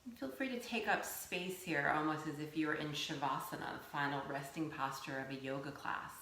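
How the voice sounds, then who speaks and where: A woman teaching yoga nidra, giving gentle guided meditation instruction in a soothing, unhurried way with pauses. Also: low-pitched. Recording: roomy echo, faint background noise.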